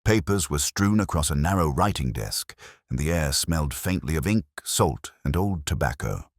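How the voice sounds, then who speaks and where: A male audiobook narrator with a very low voice. He tells a detective story in a measured, dramatic way, with expressive swings in pitch. The sound is close and dry. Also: no room sound.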